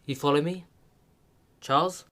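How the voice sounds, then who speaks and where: An actor playing a stiff-upper-lip Edwardian chief constable with a British accent, brisk and controlled, in a radio play. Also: male voice, on the high side, fairly bright tone. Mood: firm, businesslike.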